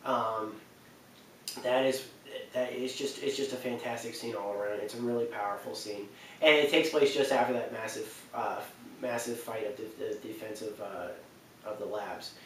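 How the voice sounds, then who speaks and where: A man in his twenties giving casual, unscripted video game countdown commentary, halting and repeating phrases for emphasis. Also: steady tone, fairly bright tone. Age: 20s. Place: living room, on a sofa. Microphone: camera a few feet away. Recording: roomy, distant mic, faint rumble.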